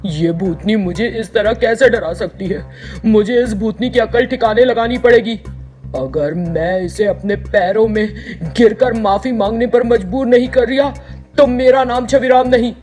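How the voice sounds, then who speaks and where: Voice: mid-range voice, a little slow, a little mellow, soft background music, close to an everyday voice. An actor voicing a proud, defiant village man in a cartoon story, indignant and declamatory. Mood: indignant, vengeful. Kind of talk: Hindi cartoon moral story voice acting.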